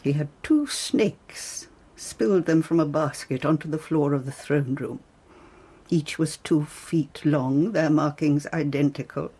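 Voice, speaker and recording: A woman's voice: low voice, unhurried, dark tone, husky. An actress playing a devoted, anxious lady-in-waiting to an Empress, formal and guarded, in a radio drama.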